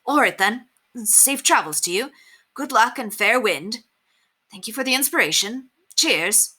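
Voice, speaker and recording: A woman doing an awkward, cheerful young man, bright tone. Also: on the high side, lively intonation, a little slow, husky, voice pushed up, exaggerated voice. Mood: flustered, cheery. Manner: hearty, breezy, old-fashioned phrasing.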